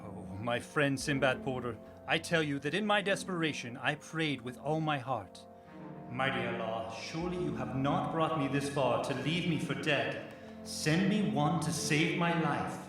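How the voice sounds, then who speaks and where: Radio drama sailor hero, male actor: higher male voice, dramatic storyteller, formal phrasing, score underneath. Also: normal speed, music in the background, natural voice. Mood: grave narrating. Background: musical score.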